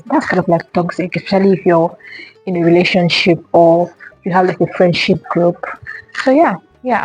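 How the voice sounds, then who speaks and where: Young woman rambling thru questions on her vlog, husky low-ish voice, dry dull sound. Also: a little mellow, no room sound. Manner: rambling, repeats herself, many fillers. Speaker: vlogger. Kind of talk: casual solo chat answering questions.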